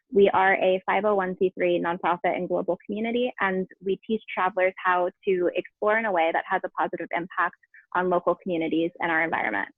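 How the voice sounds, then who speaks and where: A woman host opening an online event with a brisk, welcoming introduction. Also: medium pitch, no room sound. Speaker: host, nonprofit founder. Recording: tinny phone-like line.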